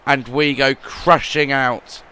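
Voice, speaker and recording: Bright-voiced man commentating a football game. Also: medium pitch, slow pace, slight background noise. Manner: rambling, repeats words, swings between gloom and excitement. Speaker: video game YouTuber.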